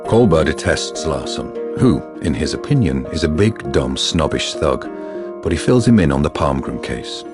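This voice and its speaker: A man's voice: extremely low pitch, sing-song, normal speed, over music. A man reading scripted dramatic narration for a radio drama.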